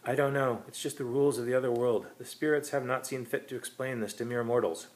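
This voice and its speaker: A man's voice: mid-range voice, quick pace, pitched above the performer's own voice, natural voice, poor audio. Man voicing a solemn, hypnotic female spirit medium. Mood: mystical, assured. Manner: calming, hypnotic, theatrical delivery. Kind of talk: short story character reading.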